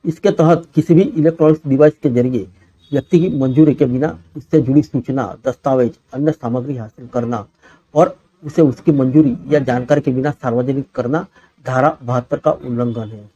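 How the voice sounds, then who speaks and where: A man's voice: mid-range voice, moderate pace, warm tone, no echo, highs cut off. A man reads a scripted news bulletin as the presenter.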